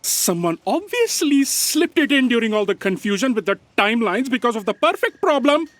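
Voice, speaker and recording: A woman playing a pedantic, deadpan editorial assistant in a sci-fi comedy audio drama, speaking in a measured way. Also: fairly high pitch, dry recording. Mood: dry.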